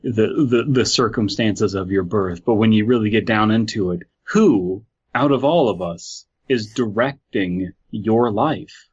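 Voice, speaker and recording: A man with a lowish voice rambles through mock-philosophical musings in casual banter. His mic sounds a little dull and muffled. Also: unhurried, few pauses, breathy edge. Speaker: podcast co-host.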